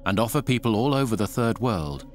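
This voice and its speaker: A man narrating a documentary in a measured, scripted delivery. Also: on the low side, lively intonation. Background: music.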